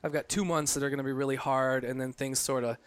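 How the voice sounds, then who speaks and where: A man's voice: medium pitch, fairly even intonation, fast, clean tone, no room sound. Reflective man in his late thirties. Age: 30s. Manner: reflective, rambling with frequent fillers and restarts. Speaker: guest, photographer. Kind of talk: casual interview conversation.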